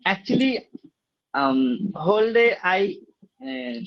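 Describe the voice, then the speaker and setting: A man with a Bengali accent, a native Bengali speaker practising English, talking haltingly with many pauses on a video call. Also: high voice, very animated. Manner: hesitant, many fillers and pauses. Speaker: English learner. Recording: dull lo-fi call audio.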